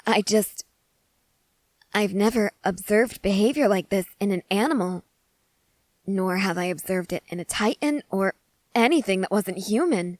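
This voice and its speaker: Girl voicing an excitable lady scientist in an asmr roleplay, wordy n curious, pretty dry close sound. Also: medium pitch, a little slow, no room sound, natural voice, low-quality recording. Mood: excited wonder. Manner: eager, wordy, apologetic, formal phrasing. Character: excitable, curious woman scientist studying a wild wolf. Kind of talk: scripted ASMR audio roleplay to the listener.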